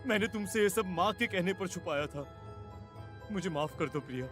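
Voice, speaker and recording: An actor playing a grave, remorseful husband in a horror cartoon story, speaking with earnest drama. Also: male voice, sits high, expressive, moderate pace, fairly bright tone. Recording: music behind the voice.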